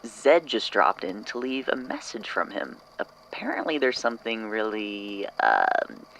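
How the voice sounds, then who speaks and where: Bemused office colleague man acting, audio drama; gravelly fry, casual halting delivery, faint noise. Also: on the low side, sing-song, a bit bright, heavily acted, poor audio. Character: casual, slightly baffled colleague relaying a message.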